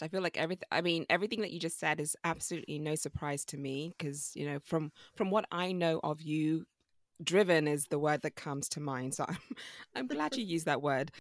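A woman with a low speaking voice hosts a casual interview in an upbeat, chatty way, often echoing what her guest says. Her voice sounds dry and close, with no room echo around it. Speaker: interview host.

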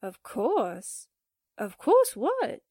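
A woman acting a slangy, grumbling young newspaperwoman sidekick in a 1940s-style radio drama, with comic, drawn-out delivery. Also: high-pitched, wildly swooping pitch, a little mellow, dry recording, clean studio sound, full character voice. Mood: wry, complaining.

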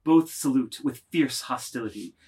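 Guy narrating a literary drama's stage directions, husky, medium pitch. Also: normal speed, mellow.

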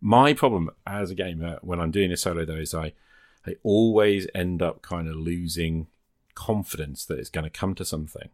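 A man's voice: low voice, moderate pace, darkish tone, dry recording, clean studio sound. A man talking casually and reflectively, explaining his thoughts as he works them out in conversation. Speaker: podcast host. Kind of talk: casual discussion of solo role-playing games.

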